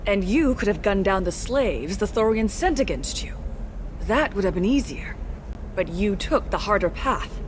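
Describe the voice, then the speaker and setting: A woman's voice: mid-range voice, lively intonation, moderate pace, a little hiss or hum, natural voice. A woman playing an earnest, thoughtful female colony organizer in a video game. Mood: sincere, grateful. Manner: sincere, thoughtful. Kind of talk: video game dialogue acting.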